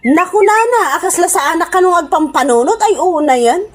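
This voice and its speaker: A woman's voice: very high voice, lively intonation, brisk, a little hiss or hum. An actress with an Ilocano accent playing a brash, scornful woman in a comedy radio drama, snapping melodramatically. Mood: huffy. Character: brash, scornful woman quitting her job for politics.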